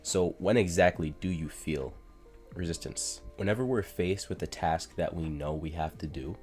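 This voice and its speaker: Stuffy-nosed guy with a really deep voice, chatting to camera about self improvement, lots of "like" and "you know". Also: moderate pace, a little mellow, soft background music. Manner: conversational, frequent "like" and "you know" fillers. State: congested from a cold. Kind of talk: casual explainer vlog.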